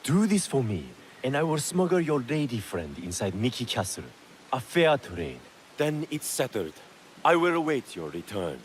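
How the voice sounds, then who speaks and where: An actor playing a cynical, scheming smuggler merchant in a video game, suave, sly and mock-polite. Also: male voice, mid-range voice, big pitch swings, normal speed, slight background noise, close to an everyday voice. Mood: sly, businesslike. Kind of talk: video game cutscene acting. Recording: music faintly behind the voice.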